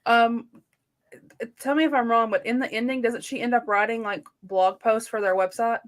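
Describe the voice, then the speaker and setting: A woman in a casual book discussion as a co-host, talking informally with slangy phrasing and softened opinions. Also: on the high side, steady tone, moderate pace.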